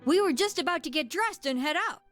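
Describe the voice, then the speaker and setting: An actress playing an earnest, polite, slightly hesitant youngster in a video game. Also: female voice, high-pitched, expressive, fast, fairly bright tone. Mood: eager, earnest. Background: game music.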